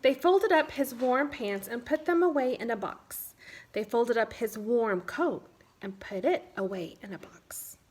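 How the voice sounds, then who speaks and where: High-voiced woman reading a picture book. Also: a little slow, slightly rough. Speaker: children's read-aloud storyteller. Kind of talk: picture-book read-aloud narration.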